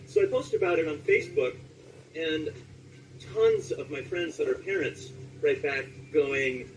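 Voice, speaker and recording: A man from Southern California talking casually as an interviewed voice actor, telling anecdotes in an animated way and acting out reactions. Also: on the high side, measured pace, far from the mic, slight background noise, rough recording.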